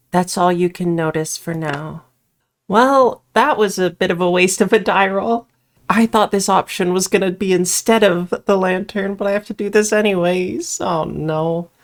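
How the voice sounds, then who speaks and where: A woman reading a horror visual novel aloud as she plays, mixing storybook-like narration with casual commentary. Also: lowish voice. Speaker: player narrating a game playthrough.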